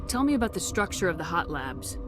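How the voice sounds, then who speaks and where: Female military commander, low pitch, direct, clipped, game dialogue, music behind. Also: very animated, a little fast. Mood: firm, probing. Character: no-nonsense female military commander, questioning and assertive. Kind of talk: video game dialogue acting.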